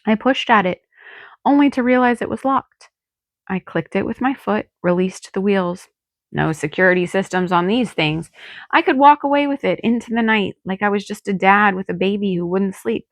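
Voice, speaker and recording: A woman's voice: mid-range voice, normal speed, dry recording. A woman narrating a first-person novel as an audiobook, reading expressively and acting out the drama. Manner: dramatic, acts out dialogue. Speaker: author reading own novel.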